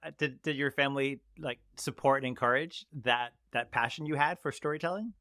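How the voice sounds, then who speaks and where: A man hosting a casual interview, asking friendly, halting questions with many restarts. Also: mid-range voice, pushed and bright, no echo, clean studio sound. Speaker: interview host.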